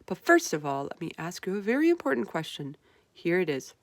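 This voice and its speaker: A woman's voice: fairly low pitch, sing-song, fairly quick, dry recording. Female reader as plucky young girl heroine, children's storybook, impassioned and emphatic, dry sound. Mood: eager. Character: determined, feisty, indignant young orphan girl. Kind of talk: children's storybook read-aloud.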